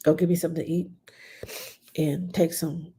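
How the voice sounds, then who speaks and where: Woman, middle-aged, stuffed-up nose, husky, casual live Q&A, plain-spoken nanny. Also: sits low, level tone, normal speed, mellow. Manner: chatty, blunt and plain-spoken. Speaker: nanny answering viewer questions.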